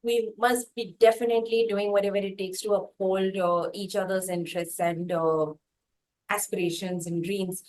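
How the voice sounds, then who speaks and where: A woman with an Indian accent and a mid-pitched voice conducts an interview. Her phrasing is a little formal and comes out in halting stretches. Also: fairly even intonation, darkish tone, low-quality recording. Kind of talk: interview questions and chat.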